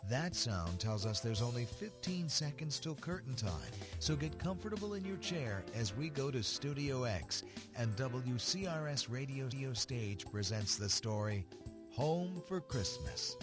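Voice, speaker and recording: A man presenting a radio drama with a deliberate, dramatic, scripted introduction. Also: mid-range voice, big pitch swings. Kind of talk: scripted old-time radio style introduction. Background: music.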